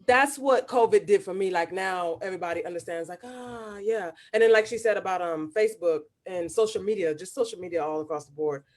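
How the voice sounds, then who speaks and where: A woman of forty co-hosting a casual talk show, speaking at a medium pitch. She talks quickly and emphatically and laughs often. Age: 40s. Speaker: co-host, attorney. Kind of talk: casual chat.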